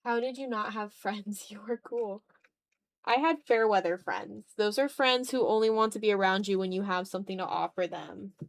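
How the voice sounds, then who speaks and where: Young female art streamer, mid-pitched, casual and slangy, animated, self-deprecating chatter. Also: normal speed, creaky. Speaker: art livestreamer. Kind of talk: casual livestream chat while drawing.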